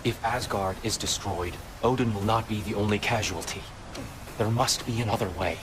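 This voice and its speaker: An actor playing a gentle, troubled war god in a video game, speaking in a solemn, measured, formal manner. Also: male voice, on the low side, background noise, close to an everyday voice. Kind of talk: video game cutscene acting. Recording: music behind the voice.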